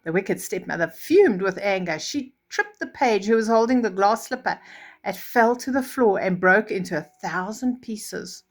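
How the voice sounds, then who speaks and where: A woman reads a children's storybook aloud in a medium-pitched voice. Her delivery is expressive and animated, and she slips in chatty asides to her young listeners as she goes. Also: moderate pace. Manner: animated, acts out reactions. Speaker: storyteller reading a children's fairy tale.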